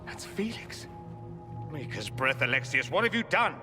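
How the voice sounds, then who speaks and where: A man playing an earnest mage in a fantasy game, articulate, expressive and theatrical. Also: medium pitch, moderate pace, over music, natural voice. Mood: appalled. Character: earnest, dramatic adult male mage time traveller. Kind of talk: dramatic fantasy game voice acting.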